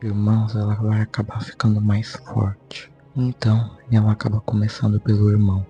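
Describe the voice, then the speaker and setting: A man's voice: on the low side, monotone, normal speed, dark tone, faint music behind. A man with a Brazilian accent informally narrating a story recap as a video host, in a conversational way with repetitive phrasing. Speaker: YouTube host.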